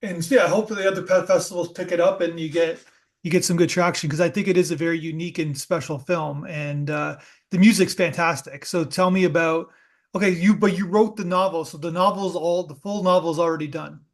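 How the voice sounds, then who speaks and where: A man's voice: on the high side, fairly even intonation, fairly quick. A man hosting a film podcast, asking loose, casual questions of a guest. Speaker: podcast host.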